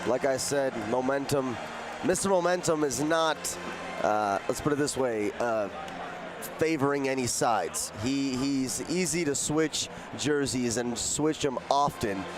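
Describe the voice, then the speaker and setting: A man's voice: mid-range voice, a little slow, music in the background. A man giving live, conversational basketball analysis as a color commentator. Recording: noisy basketball arena ambience. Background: arena noise, bouncing basketballs.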